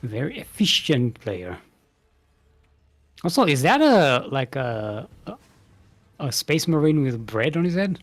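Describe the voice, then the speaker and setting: A man casts a strategy game tournament in a casual, rambling style. His pitch sits a little high and swings widely. He sounds playful and chatty, like he is bantering with a co-caster. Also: very animated, unhurried, long pauses. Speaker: esports tournament commentator.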